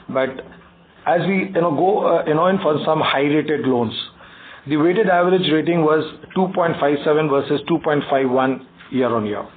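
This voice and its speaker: Indian guy on a tinny phone conference call reading out bank results, kinda high voice, stumbles and repeats himself. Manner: halting, stumbles and repeats words. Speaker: bank executive on an earnings call. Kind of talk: prepared financial briefing read aloud. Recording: tinny phone conference line, faint noise.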